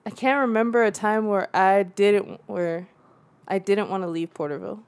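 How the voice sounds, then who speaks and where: A woman's voice: mid-range voice, moderate pace, darkish tone, clean tone. A young woman from Central California, a guest in a casual conversation, who laughs often and acts out the voices she quotes.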